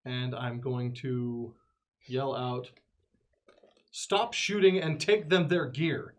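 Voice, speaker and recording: A man's voice: mid-range voice, fairly even intonation, slow pace. A man playing a tabletop game, talking casually with the others at the table.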